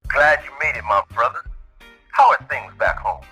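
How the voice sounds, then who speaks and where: A man's voice: medium pitch, normal speed, soft background music, low-quality recording. A man playing a streetwise friend who gives blunt advice in a radio drama. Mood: advising. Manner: animated and preachy.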